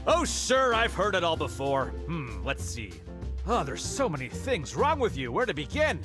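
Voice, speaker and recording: A man's voice: on the high side, wildly swooping pitch, normal speed, music in the background. A man voicing a pompous, condescending school principal who lectures, in a comedy cartoon game. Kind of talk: animated comedy game acting.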